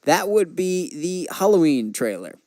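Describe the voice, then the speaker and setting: A man's voice: a little high, sing-song, measured pace, darkish tone, no room sound. Opinionated man podcaster, dry close sound. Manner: opinionated, enthusiastic fan talk. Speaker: podcast co-host. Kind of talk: casual film chat.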